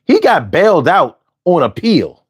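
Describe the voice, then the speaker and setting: A man's voice: fairly high pitch, huge pitch swings, a little slow, husky. A man in his forties from Philadelphia with a Philly accent, hosting a casual, argumentative monologue full of slang and restarts. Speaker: host.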